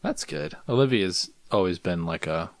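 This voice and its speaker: A young man co-hosting a casual movie podcast, animated and jokey, often poking fun at himself. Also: low voice, normal speed, creaky, no room sound, clean studio sound. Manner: animated, jokey, self-deprecating. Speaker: podcast co-host.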